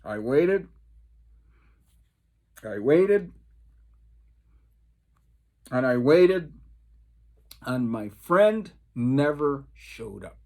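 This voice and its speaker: Expressive male pastor telling stories. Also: mid-range voice. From Caribbean. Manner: emphatic storyteller, repeats phrases for effect, dramatic pauses. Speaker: pastor leading a Bible study. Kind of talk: devotional Bible teaching with personal stories.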